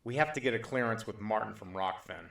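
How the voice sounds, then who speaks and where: A man's voice: on the low side, quick pace, vocal fry, a bit bright. A man playing a jumpy, cynical survivor sidekick in an apocalyptic audio drama, with a sardonic, dramatic delivery. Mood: wary. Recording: roomy echo, faint dramatic music behind.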